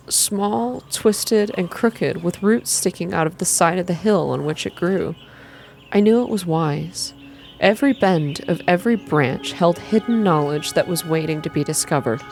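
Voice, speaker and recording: Soft-spoken woman reading, lowish pitch. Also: measured pace, faint music behind. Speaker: reader, narrator. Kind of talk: ASMR reading of a visual novel, scripted first-person narration.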